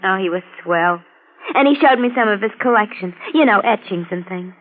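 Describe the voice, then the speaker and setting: A woman's voice: medium pitch, lively intonation, a little fast, natural voice. An actress in 1940s radio drama playing a naive, vain young working-class glamour girl who mixes genteel airs with slang. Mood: boastful, gushing. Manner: affected genteel phrasing mixed with slang. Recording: muffled lo-fi old radio recording.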